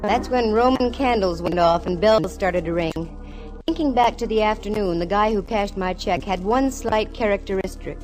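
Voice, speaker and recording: A woman's voice: medium pitch, moderate pace, natural voice, rough recording. A woman playing a wisecracking, confident private eye, narrating in wry 1940s radio style. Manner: wry, sardonic. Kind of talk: 1940s radio acting, first-person noir narration. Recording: vintage 1940s-50s radio recording, music behind.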